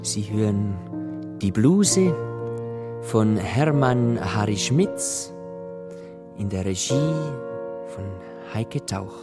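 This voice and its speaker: A man's voice: on the low side, expressive, drawn-out words, long pauses. A man reading a scripted title announcement for a radio play. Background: loud keyboard music.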